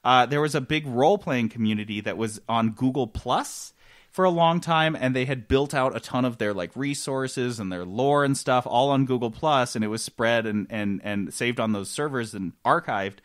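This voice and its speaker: A man's voice: medium pitch, moderate pace. A man hosting a casual gaming podcast, giving his opinions emphatically and conversationally. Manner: emphatic, ranting, acts out imagined dialogue. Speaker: podcast host.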